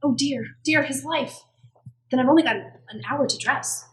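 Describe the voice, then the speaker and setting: A woman's voice: high-pitched, moderate pace, a little hiss or hum, rough recording. A woman playing a naive, eager apprentice angel in a radio play. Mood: eager, flustered. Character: naive eager angel, two centuries old, still wingless.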